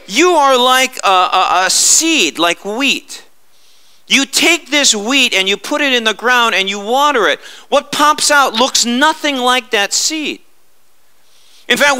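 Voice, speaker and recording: A middle-aged man preaching a sermon on a church stage, animated and gesturing, often stumbling over repeated words. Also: sits high, smooth. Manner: animated, gesturing, many restarts and filler stammers. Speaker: pastor.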